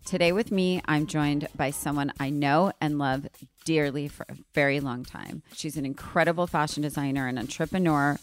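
A woman hosting an interview podcast, effusive and eager, quick to react and chat along. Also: sits low. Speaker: podcast host.

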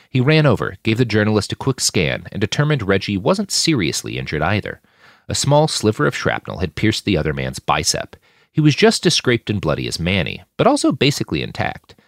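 A man reading scripted action fiction aloud in an expressive, dramatic narrating style. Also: fairly low pitch, no echo, clean studio sound. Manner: expressive, shouts out characters' lines. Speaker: audiobook narrator reading own fiction podcast.

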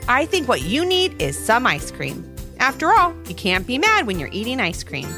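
A kindly, knowing ice cream man from a children's storybook, voiced by a woman reading aloud. He speaks calmly and a little slowly, with a light, lilting voice. Soft music sits underneath. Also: fairly high pitch, voice pushed up, full character voice. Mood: friendly.

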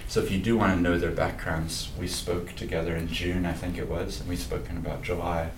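Brief: easygoing male moderator, very deep voice, roomy hall, distant mic. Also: little pitch movement, a little fast, slight background noise. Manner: friendly, easygoing facilitator. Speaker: panel moderator and podcast host. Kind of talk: moderating a panel discussion.

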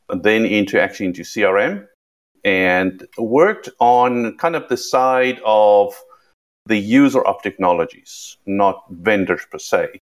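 A middle-aged man with a South African accent, slightly Americanized with a British touch, speaking as a podcast guest in a measured way and often repeating words as he starts a phrase. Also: lowish voice. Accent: South African, somewhat Americanized with a British touch. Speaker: guest, tech executive. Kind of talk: podcast interview.

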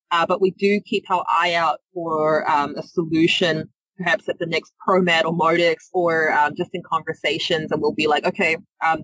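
A woman's voice: low voice, a bit bright, husky. A woman with a New Zealand accent speaks as a podcast guest. She talks fluently, but her answers are full of fillers and restarts. The recording is close and dry, with no room echo. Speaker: guest, innovation leader.

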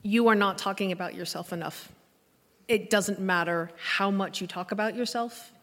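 A woman gives advice as a panel guest in a big reverberant room. Her mid-pitched voice comes across blunt and emphatic, and she repeats points to drive them home. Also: moderate pace, a bit bright. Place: live event panel in a hall. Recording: echoey hall with long reverb.

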